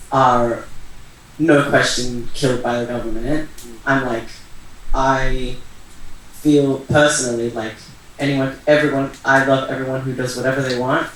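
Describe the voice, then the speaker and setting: Heard in a very echoey room, away from the mic and with faint noise, a young man from Iran talks at a community event. He reflects casually and personally, in a halting way, often searching for the right words. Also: medium pitch, fairly bright tone, distant mic. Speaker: panel speaker.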